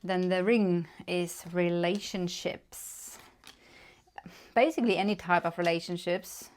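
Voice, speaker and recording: A woman from Germany with a German accent, giving a casual tarot card reading with gentle, unhurried talk and many fillers. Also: fairly low pitch, fairly even intonation. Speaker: tarot reader.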